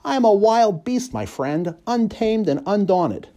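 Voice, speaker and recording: A man's voice: sits high, very animated, a little slow, dark tone, pitched above the performer's own voice. A man voicing a tiny, proud, wily stray dog in a storybook reading, with a defiant, declamatory manner.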